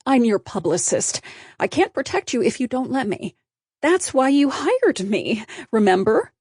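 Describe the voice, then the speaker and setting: A woman voicing a sharp, controlling female publicist with a snappy, commanding manner in a storybook. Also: on the high side, very animated, measured pace, husky, dry recording, clean studio sound, voice pushed up, full character voice.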